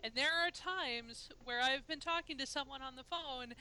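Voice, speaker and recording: A woman's voice: high-pitched, normal speed, bright, forceful tone, clean tone, rough recording. A woman chatting casually as a podcast co-host, emphatic and laughing often. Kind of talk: casual chat.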